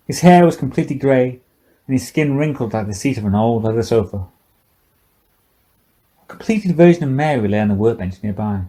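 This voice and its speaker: A man's voice: medium pitch, dark tone, low-quality recording. A man narrating scripted fiction for an audiobook.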